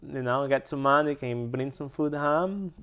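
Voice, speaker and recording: A man answers questions briefly and plainly in simple English. His voice has a gravelly edge but is smooth and resonant underneath. His pitch sits in an ordinary middle range. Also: moderate pace, a bit bright. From Brazil. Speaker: interviewee.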